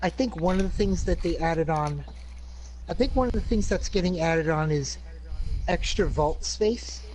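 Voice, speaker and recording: A man in a tabletop game group chatting casually, slow and deliberate when listing things. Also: on the high side, animated, darkish tone, slight background noise, low-quality recording. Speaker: player. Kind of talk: casual chat.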